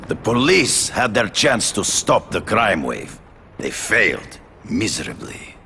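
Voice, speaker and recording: Menacing hunter, heavily creaky growl, dramatic swings in pitch, formal, video game drama. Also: male voice, big pitch swings, bright, forceful tone, slight background noise, heavily acted. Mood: stern. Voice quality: gravelly, growling. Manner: formal, deliberate, theatrical. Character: imperious big-game hunter, proud, cold, menacing man.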